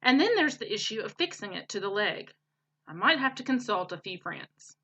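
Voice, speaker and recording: Woman reading as a folksy beaver craftsman, bright-voiced. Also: mid-range voice, sing-song, moderate pace, lo-fi sound, exaggerated voice. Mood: musing. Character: gruff-but-kindly male beaver craftsman, confident, musing.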